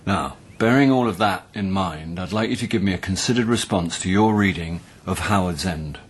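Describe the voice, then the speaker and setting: An actor playing a wry, pedantic middle-aged literature tutor in a radio drama, with a husky voice and a southern English accent. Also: male voice, low voice, normal speed. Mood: hesitant, pedantic. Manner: hesitant, dry, articulate, pedantic.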